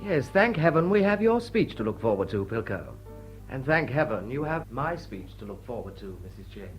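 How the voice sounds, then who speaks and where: An actor playing a dashing, sardonic young lord in period drama, breezy, teasing and probing. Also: male voice, mid-range voice, very animated, brisk, faint music behind, lightly acted. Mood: breezy, mocking. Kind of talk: period stage comedy radio drama.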